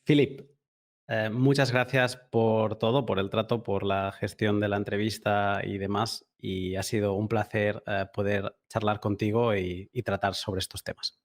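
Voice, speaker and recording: A man's voice: lowish voice, flat delivery, a little slow. A man hosting a conversational podcast interview, thinking aloud in a reflective way.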